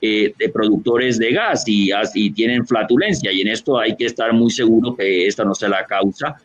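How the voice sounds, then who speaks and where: A gastroenterologist from Colombia with a Barranquilla accent, explaining a medical answer over a video call, with frequent fillers and restarts. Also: male voice, lowish voice, normal speed. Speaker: gastroenterologist lecturer. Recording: dull lo-fi video call audio.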